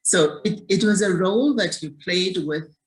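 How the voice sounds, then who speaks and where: A woman's voice: on the low side, normal speed, low-quality recording. A woman in her sixties from South Africa, a community activist telling her story in an interview in an earnest way, often repeating words as she searches for them.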